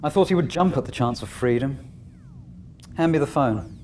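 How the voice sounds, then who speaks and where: An actor playing a weary male overseer of the village, speaking in a measured, wry and quietly regretful way. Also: mid-range voice, expressive, a little hiss or hum, natural voice. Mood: persuasive. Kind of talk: fan audio drama acting.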